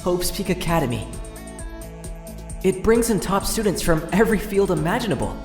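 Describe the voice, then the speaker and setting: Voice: mid-range voice, big pitch swings, moderate pace, natural voice. A performer voicing an earnest teenage schoolboy, narrating his own story dramatically as an inner monologue in an amateur game dub. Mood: earnest, wistful. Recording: roomy echo, music underneath.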